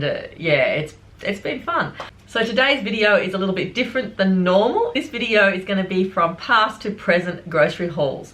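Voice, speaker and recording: A middle-aged woman with a croaky voice from a cold, chatting casually and rambling to her viewers about groceries. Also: lowish voice, a bit bright. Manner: chatty, rambling, many fillers. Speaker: vlogger. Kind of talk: casual chat to camera.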